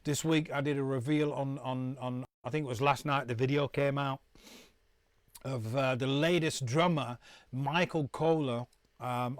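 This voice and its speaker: A man's voice: medium pitch, no echo. A middle-aged man from the UK, chatting casually to viewers on a live stream, rambling and restarting often. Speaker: musician hosting a live stream.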